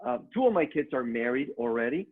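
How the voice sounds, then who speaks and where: A man's voice: mid-range voice, sing-song, fast, breathy edge. A middle-aged man with a Mexican accent giving an upbeat motivational business presentation to an online audience, addressing them directly. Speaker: online training speaker. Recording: narrow phone-like online call audio.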